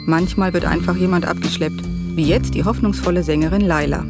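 A man playing a wry band storyteller in a radio drama, narrating with dry, knowing asides and a creaky voice. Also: sits high, steady tone, fairly quick. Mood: dryly amused. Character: wry male band member narrating rehearsal stories. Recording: loud music under the voice.

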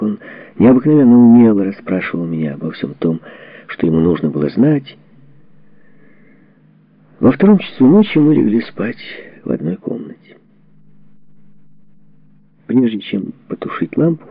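A man reading a memoir as a scripted audiobook narration, with measured, dramatic phrasing and expressive delivery. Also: lowish voice, vocal fry, slightly rough, no echo. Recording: dull, muffled lo-fi audio.

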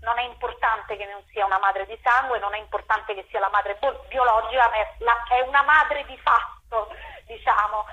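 Bright-voiced, higher-pitched woman in interview. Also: low-quality recording. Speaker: theatre director being interviewed. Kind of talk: interview answers.

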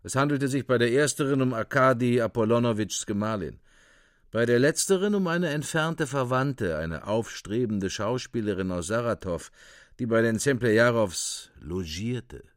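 A man's voice: mid-range voice, lively intonation, normal speed, no room sound, studio quality. A man narrating a radio drama from a script as a storyteller.

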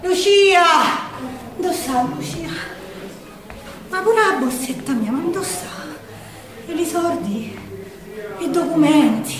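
A shaken young woman partisan in a wartime theatre monologue, heard in a roomy, echoing space a little way from the microphone. Her high voice has a Roman accent and moves between hushed and urgent, with weighted pauses. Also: animated, crowd noise. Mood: anxious, searching. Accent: Roman Italian. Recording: roomy echo, distant microphone.

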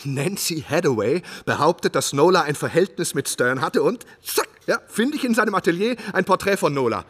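A man's voice: fairly high pitch, lively intonation, a little fast, hardly any pauses, fairly bright tone, slightly rough, dry recording. A man playing an eager, inquisitive novelist turned investigator in a radio drama, earnest and probing. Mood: excited. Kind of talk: radio drama narration and dialogue.

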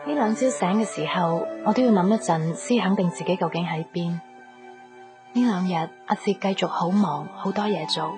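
An actress playing a gentle, forbearing young woman in love in a Cantonese radio drama, speaking with a Hong Kong accent. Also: fairly low pitch, normal speed, over loud music, close to an everyday voice. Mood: wistful, lonely.

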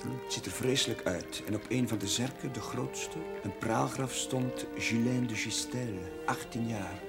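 A man's voice: mid-range voice, measured pace, loud music behind the voice, lightly acted. A man playing a flustered, polite young curate in a television drama, hesitant and eager to please. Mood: curious. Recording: 1970s television drama soundtrack.

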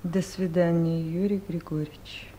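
A woman's voice: on the low side, little pitch movement, a little slow, heavy background noise, lightly acted. A woman playing an earnest, lovesick office worker in a radio comedy drama, polite and eager. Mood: eager, shy. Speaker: actor in an audio play.

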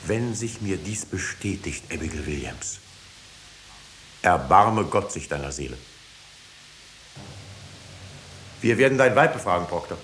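An actor playing a coldly authoritative older judge, deliberate and imperious, in a 1950s German radio drama. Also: male voice, low voice, lively intonation, fairly bright tone. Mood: grave. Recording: faintly hissy 1950s radio recording.

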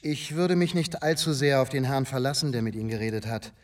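An actor playing a blunt police inspector in a radio drama, urgent and hard. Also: male voice, mid-range voice, fairly quick, recorded from a distance, close to an everyday voice. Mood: stern warning.

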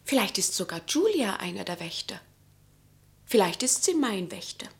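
An actress playing a cool, quietly knowing woman in a German radio drama, wry, intimate and understated. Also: mid-range voice, big pitch swings, moderate pace, vocal fry. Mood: hushed, musing.

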